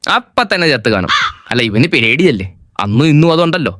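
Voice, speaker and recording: A man's voice: a little high, sing-song, brisk, a bit bright, no room sound, studio quality. A man playing a cheeky, meddling friend who teases and wisecracks in a comedy cartoon. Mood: teasing. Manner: wisecracking, teasing. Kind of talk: comedy cartoon skit dialogue.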